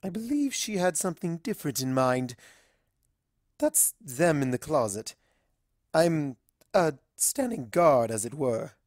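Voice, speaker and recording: A woman's voice: sits low, very animated, unhurried, darkish tone, dry recording, clean studio sound, exaggerated voice. A woman voicing a refined, easily embarrassed ladylike cartoon pony with genteel, delicate manners. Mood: embarrassed, hushed. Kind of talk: acted fan fiction dialogue.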